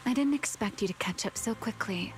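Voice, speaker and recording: A woman voicing a cool, haughty wanted criminal in an anime game, teasing and disdainful in an unhurried way. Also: medium pitch, a little hiss or hum, close to an everyday voice, rough recording. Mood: bored, taunting.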